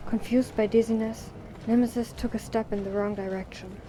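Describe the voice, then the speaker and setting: A woman's voice: on the high side, steady tone, fairly quick, background noise. A woman reading scripted narration for an audio drama.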